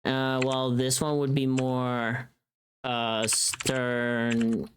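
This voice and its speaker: Informal streamer thinking out loud, mid-pitched voice. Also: level tone, drawn-out words, background noise. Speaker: livestreamer. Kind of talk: casual livestream chat while working.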